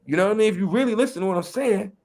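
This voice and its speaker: A man's voice: high-pitched, rapid-fire, darkish tone. Chicago west side guy w a bit of new york in his accent, easygoing, keeps saying you know what i mean. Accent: Chicago West Side, slight New York. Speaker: rapper and educator, guest. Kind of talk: casual interview talk.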